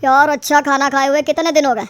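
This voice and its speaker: A woman's voice: high voice, little pitch movement, fast, a bit bright. A woman voicing a lazy young son-in-law in a story, agreeable yet given to grumbling. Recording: music behind the voice.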